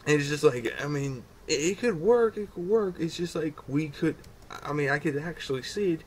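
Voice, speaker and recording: A man's voice: on the high side, animated, moderate pace, low-quality recording. Man chatting casually over background noise. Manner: repetitive, stumbling over words, often swearing. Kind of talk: casual fan chat about comic book films. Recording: noticeable background noise, amateur recording.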